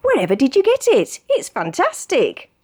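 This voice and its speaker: An eager, big-hearted British biker from a picture book, played by a woman storyteller. The delivery is friendly and exclamatory, with very wide pitch movement. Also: huge pitch swings, normal speed, a little mellow. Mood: amazed.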